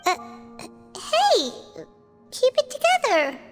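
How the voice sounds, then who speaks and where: A woman voicing a tiny, perky, cheeky childlike companion in a video game, squeaky and blunt. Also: huge pitch swings, slow pace, music in the background, full character voice. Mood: urging. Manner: blunt, boastful, exclaiming. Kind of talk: anime-style video game acting.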